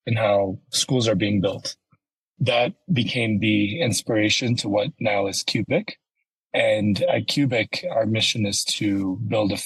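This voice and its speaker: A man from Ethiopia, a company founder, answering interview questions in a measured, explanatory way. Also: on the low side, husky, no room sound, rough recording. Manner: measured, explanatory, uses "you know" and "right?". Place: home office in Ethiopia, remote call.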